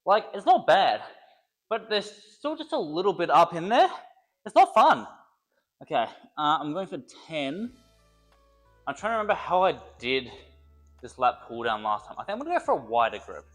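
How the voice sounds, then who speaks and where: A man's voice: on the high side, very animated, normal speed, long pauses, a bit bright, soft background music. A young man, a personal trainer, chatting casually and joking while he works out, a little congested from a cold. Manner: rambling, joking, thinks aloud between sets. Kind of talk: casual workout vlog talk.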